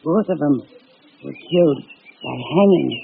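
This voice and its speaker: An actress playing a folksy, gossipy neighbor woman with a halting manner in a vintage radio thriller, on a tinny, noisy recording. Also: low-pitched, vocal fry. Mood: uneasy, confiding.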